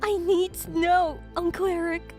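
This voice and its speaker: A woman's voice: very high-pitched, flat delivery, unhurried, mellow, faint music behind. A woman playing a grieving, insistent schoolgirl in an audio crime drama, speaking in a pleading way. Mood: upset, pleading.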